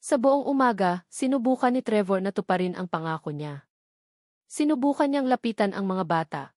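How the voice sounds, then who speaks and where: Female Filipino narrator for scripted romance drama; mid-pitched, very dry recording. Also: lively intonation, moderate pace, darkish tone, no room sound. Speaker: story narrator.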